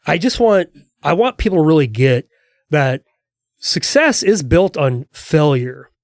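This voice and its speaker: A man's voice: on the high side, very animated, measured pace, no echo, clean studio sound. A man hosting a podcast interview, speaking in an affirming, encouraging way. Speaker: podcast host. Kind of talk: conversational interview.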